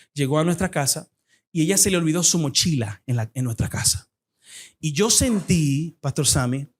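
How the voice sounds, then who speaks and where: Fervent high-voiced Dominican preacher. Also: male voice. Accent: Dominican Spanish. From Dominican Republic. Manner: fervent, fast-talking preacher. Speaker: guest speaker at a church. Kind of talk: church appeal and testimony.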